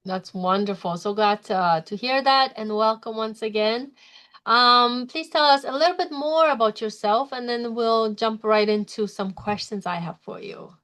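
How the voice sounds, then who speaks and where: A woman hosts a podcast interview with a fairly high voice. She speaks in a friendly, encouraging way and often fills pauses with um and uh. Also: fairly bright tone. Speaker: podcast host. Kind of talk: interview hosting.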